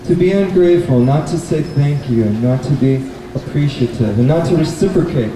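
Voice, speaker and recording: A man presenting a live puppet show, telling stories and explaining their lessons to children in a measured, didactic way. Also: on the high side, lively intonation. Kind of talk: live storytelling and talk to children. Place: indoor bookstore event. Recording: echoey, noisy room, distant mic.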